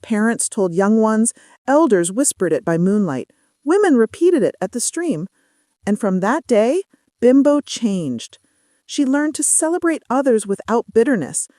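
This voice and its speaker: A woman narrating a scripted folktale as a storyteller, with dramatic, measured phrasing. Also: on the high side, big pitch swings, warm tone, dry recording, studio quality.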